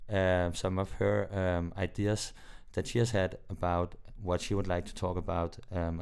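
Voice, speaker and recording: Deep, creaky young Danish man. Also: a little mellow. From Denmark. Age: 20s. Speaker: podcast host. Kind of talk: casual conversational interview.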